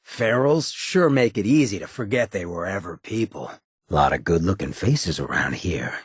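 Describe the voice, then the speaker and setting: An actor voicing a sardonic, streetwise ghoul town boss for a video game, with a gravelly rasp and a sly, slangy delivery. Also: male voice, sits low, animated, moderate pace, no echo, clean studio sound. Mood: sardonic musing. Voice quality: gravelly, raspy. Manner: sly, laid-back, slangy. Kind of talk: video game companion voice lines.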